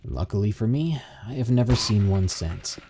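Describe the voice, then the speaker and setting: A man reading submitted encounter stories aloud in steady, measured scripted narration. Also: on the low side, background noise.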